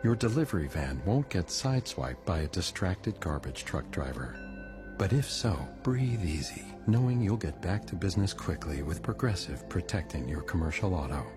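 A man's voice: deep voice, sing-song, normal speed. A man voicing a radio commercial in a soothing, reassuring way. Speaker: commercial voice-over. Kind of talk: scripted radio ad. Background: music.

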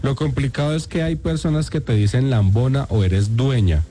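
A man's voice: mid-range voice, moderate pace, warm tone. A man co-hosting a radio show and presenting listener comments on air.

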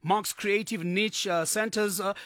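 A male radio host with a high, bright, sharp voice. He talks in a halting way full of filler sounds. His voice is close and dry, with no room around it. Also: fairly even intonation, dry recording. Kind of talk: live radio interview.

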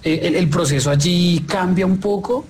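A man interviewing in a conversational but formal, polite way, feeling his way through his questions with halting phrasing. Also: sits high, a little mellow, a little hiss or hum.

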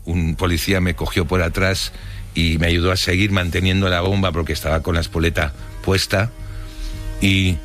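A middle-aged man from Barcelona with a deep voice and a Spanish accent from Spain. He tells his memories casually, pausing and searching for words in a reflective way. Faint music plays underneath. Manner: colloquial, halting, reflective. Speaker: interviewee telling his life story. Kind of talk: casual spoken recollection.

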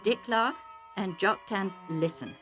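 A woman voicing an old, nearly blind male chieftain who speaks slowly, calmly and firmly. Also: medium pitch, soft background music. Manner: slow, calm, firm.

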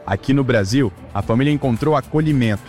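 A man reporter narrating a news feature as a scripted voice-over, in a measured way. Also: mid-range voice, a little mellow, faint music behind.

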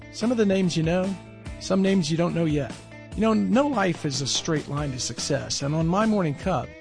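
A man's voice: a little high, gravelly. A man hosting a podcast, friendly and conversational as he introduces and interviews a guest. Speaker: podcast host.

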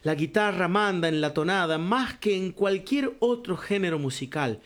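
Older man, high voice, storytelling radio columnist who says compadre. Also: animated, normal speed. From Cuyo, Argentina. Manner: warm storytelling, addresses listener as compadre. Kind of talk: reflective spoken radio column.

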